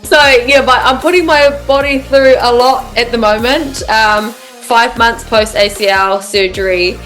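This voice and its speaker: A woman's voice: fairly high pitch, a little slow, fairly bright tone, soft background music. A woman rugby player answering interview questions hesitantly, laughing lightly. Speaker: podcast guest, rugby player.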